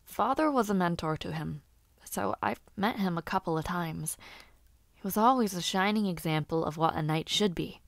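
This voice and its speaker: A young woman playing a lively noblewoman and aspiring knight in a fantasy audio roleplay, chatting to the listener in a teasing, expressive, conversational way. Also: on the low side, moderate pace, vocal fry, no room sound. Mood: earnest, suspicious.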